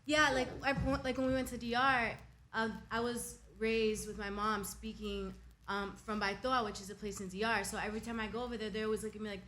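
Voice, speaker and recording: A woman from a girl group relating her experience in a casual interview, relaxed and chatty. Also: mid-range voice, a little fast, slight background noise. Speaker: singer in a girl group, guest.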